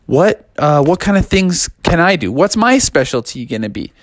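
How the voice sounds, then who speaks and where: A man puts on an eager young cartoonish frog boxer for a storybook tale. He is excitable and slangy, with a lively, swinging pitch and a close, dry sound. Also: moderate pace, dry recording.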